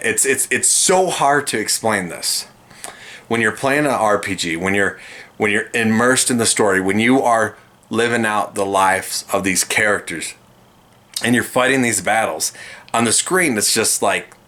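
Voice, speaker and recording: Gushing man with a bright voice. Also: on the low side. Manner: gushing, rambling with restarts. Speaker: video game reviewer. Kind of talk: casual enthusiastic review to camera.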